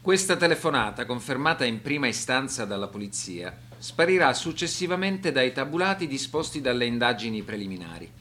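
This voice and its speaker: A man giving scripted documentary narration for a radio drama in a serious, measured manner. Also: medium pitch, big pitch swings, bright, forceful tone.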